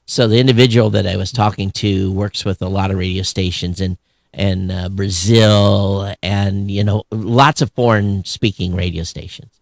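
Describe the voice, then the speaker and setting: A man co-hosting a podcast in casual, opinionated chat, animated and sarcastic, often halting and breaking off mid-phrase. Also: low-pitched, creaky, dry recording. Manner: animated, sarcastic griping with mock quoted voices. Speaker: podcast co-host.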